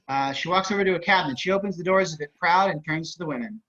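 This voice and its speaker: A man with a slightly high voice reads scripted stage directions. The pace is quick, and the sound is a bit dull, as on a video call. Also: bright, forceful tone. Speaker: narrator reading stage directions. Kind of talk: scripted narration for a table reading.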